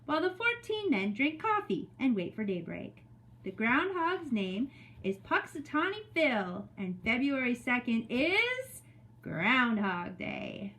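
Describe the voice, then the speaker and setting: A woman teacher reading a story aloud to young children in an expressive way, with casual spoken asides. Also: on the high side, low-quality recording. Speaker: teacher reading story time to second graders.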